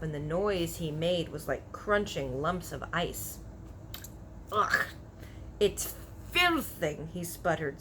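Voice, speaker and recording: A woman narrating a children's book aloud in an expressive storytelling style. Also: lowish voice, slight background noise, low-quality recording. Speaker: story reader.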